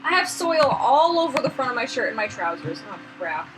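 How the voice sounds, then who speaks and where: A woman's voice: fairly high pitch, sing-song, brisk, loud music behind the voice. A young woman co-hosting a let's play, casually chatting and reading a visual novel aloud in a playful, giggly manner. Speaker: let's play co-host reading game dialogue. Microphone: fallback mic after the main one failed. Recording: noisy, no proper microphone.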